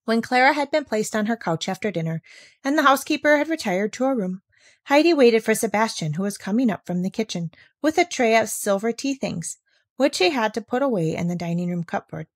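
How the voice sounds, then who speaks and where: A woman narrating a children's storybook aloud as an audiobook, with expressive delivery. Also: on the high side, brisk, no echo, studio quality.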